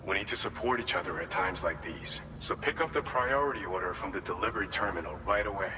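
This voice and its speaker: An earnest, practical depot staffer played by a man in a video game. His lowish voice is matter-of-fact and encouraging. It rings with long reverb over clear background noise. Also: fast talker, natural voice. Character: practical, friendly distribution center staffer relying on a courier. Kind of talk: video game dialogue, conversational briefing.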